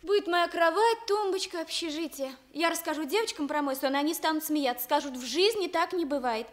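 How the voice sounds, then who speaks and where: Shy, very high-voiced young woman. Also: a little fast. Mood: dreamy, disbelieving. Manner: shy, earnest. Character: shy, dreamy young woman from a student hostel. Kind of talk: Soviet radio theatre acting.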